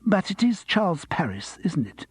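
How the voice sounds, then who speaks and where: A man's voice: high voice, very animated, moderate pace, slightly rough, no room sound, voice pushed up, exaggerated voice. A man voicing an eager, fussy theatre pianist who presses to be remembered, in a storybook reading.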